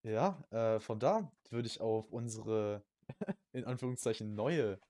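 A young man co-hosting a casual podcast chat, slangy and jokey, often repeating words and phrases in quick bursts. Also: fairly low pitch, lively intonation, mellow. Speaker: podcast co-host.

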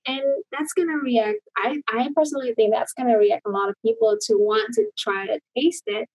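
A woman's voice: fairly high pitch, expressive, brisk, a little mellow, breathy edge, no echo, poor audio. A woman from Indonesia, a guest talking casually over a video call, rambling with many fillers and restarts.

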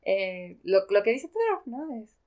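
Female podcast co-host, mid-pitched, explanatory and careful in word choice, casual discussion style. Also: very animated, a little slow, darkish tone.

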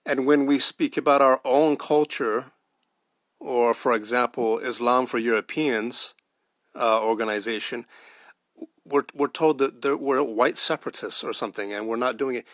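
A man's voice: mid-range voice, fairly even intonation, moderate pace, fairly bright tone, no echo, clean studio sound. A man with an American accent giving an earnest, emphatic opinion monologue, pressing his points with rhetorical questions. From United States. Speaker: podcast host.

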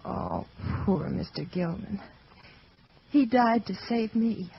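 Slow, fragile, frightened young woman. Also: medium pitch, lively intonation, gravelly, slightly rough, full character voice. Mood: shaken. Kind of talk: old-time radio drama acting. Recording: muffled old radio recording with music.

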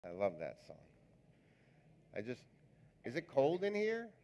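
Raspy-voiced pastor joking with his congregation. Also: medium pitch, huge pitch swings, unhurried, dark tone, faint music behind. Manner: chatty, joking, rambling asides to the congregation. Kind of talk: live church sermon. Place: church sanctuary.

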